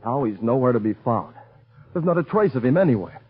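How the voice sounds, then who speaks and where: Supporting radio role: worried businessman at his wits' end, flustered, mid-pitched, tinny narrow-band vintage recording. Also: animated, a little fast, slightly rough. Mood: worried, despairing. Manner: flustered, repeats himself. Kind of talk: 1930s radio drama acting.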